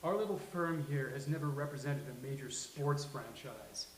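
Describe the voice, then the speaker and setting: A man's voice: mid-range voice, moderate pace, close to an everyday voice. A man reading a dry, sarcastic sitcom lawyer at a live table read, with hissy room sound. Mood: wry. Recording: roomy live venue, clear hiss.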